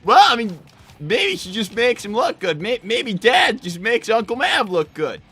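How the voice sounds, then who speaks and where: A man's voice: high voice, very animated, measured pace, pushed and bright, husky, faint music behind, full character voice. A man voicing a defensive, flustered young male fox brother in improvised banter, stammering and exasperated as he hosts a live stream in character. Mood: indignant.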